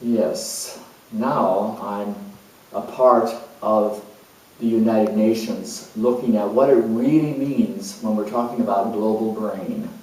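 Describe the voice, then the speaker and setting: A man's voice: on the low side, fairly even intonation, slow pace, darkish tone. A man playing an eccentric, scatterbrained, idealistic nonconformist in a rambling, emphatic theatrical monologue. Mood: earnest, reflective. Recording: very echoey room, far from mic.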